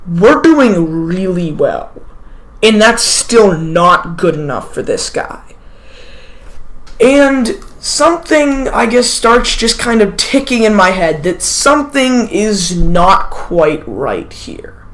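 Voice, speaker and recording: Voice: mid-range voice, sing-song, slow pace, a bit bright. A teenager, sick and sniffling, tells a personal story in a casual, rambling way, full of asides and self-corrections. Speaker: vlogger telling a personal story.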